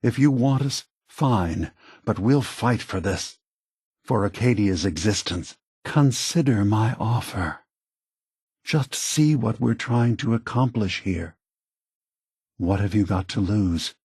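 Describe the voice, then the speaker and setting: An actor playing an aging prototype android leader in a video game, a gentle, philosophical idealist with a soft, husky voice and measured, earnest phrasing. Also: male voice, fairly low pitch, expressive, dry recording, clean studio sound, exaggerated voice. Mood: firm, resolute. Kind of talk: acted video game dialogue.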